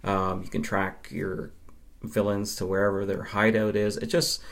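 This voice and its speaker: A man with a fairly low voice talks as a guest on a podcast. He explains things conversationally, in measured phrases broken by pauses. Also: flat delivery, gravelly. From Nova Scotia, Canada. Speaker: podcast guest, film fan. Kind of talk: conversational interview answers.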